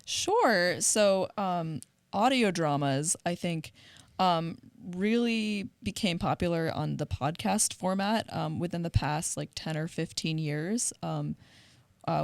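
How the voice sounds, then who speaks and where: Smooth, slightly low-voiced woman chatting. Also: dry recording. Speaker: community coordinator, podcast guest. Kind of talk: casual conversational discussion.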